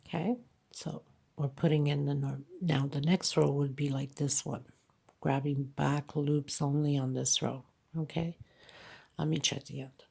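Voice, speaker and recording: A woman's voice: low voice, fairly even intonation, measured pace, vocal fry, dark tone, breathy edge. A congested woman teaching a crochet tutorial, counting stitches aloud and checking in with frequent okays. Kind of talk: hands-on tutorial narration.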